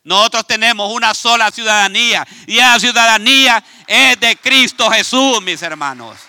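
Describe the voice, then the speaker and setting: A man from Ecuador with an Ecuadorian Spanish accent, preaching a sermon in an animated, conversational way and speaking straight to his congregation. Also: sits high, normal speed, smooth, no echo, a faint crowd. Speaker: pastor. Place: church.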